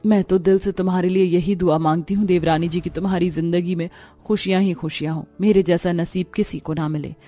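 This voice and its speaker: A poor, long-suffering mother from a cartoon melodrama, played by a woman. The voice is earnest and pleading, with a soft-hearted gentleness, over quiet background music. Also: lowish voice, steady tone, a little fast, natural voice. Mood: earnest, sad. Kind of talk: Hindi animated family melodrama.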